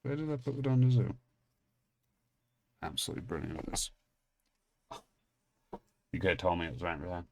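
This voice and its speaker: A man in his thirties from England with an English accent softened by American habits, telling a rambling story and then opening trading card packs in a casual, self-mocking way. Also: sits low, big pitch swings, normal speed, creaky, pauses often, a little hiss or hum. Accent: English, partly Americanized. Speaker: pack-opening channel host.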